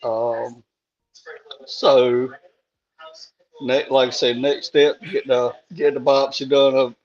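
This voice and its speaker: A man with a hoarse voice, chatting casually and plain-spoken on a live stream, laughing things off. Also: medium pitch, little pitch movement, slow pace, darkish tone. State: hoarse after a throat tube. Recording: dull lo-fi call audio.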